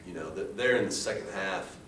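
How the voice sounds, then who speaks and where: An American man speaking as a coach at a post-game press conference, in a halting, measured way with frequent restarts. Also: fairly bright tone. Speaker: head basketball coach. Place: indoor press room at a table. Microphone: distant camera. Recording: roomy, distant mic with faint rumbling noise.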